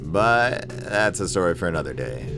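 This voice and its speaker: A man's voice: low-pitched, big pitch swings, normal speed. A man narrating a scripted comedic video essay as its host, with an irreverent, sarcastic and crudely joking manner. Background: background music with guitar.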